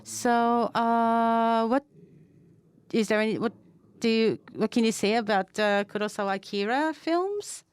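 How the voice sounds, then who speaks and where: A woman interpreting and moderating at a press conference, measured and careful. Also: on the high side, clear, resonant tone.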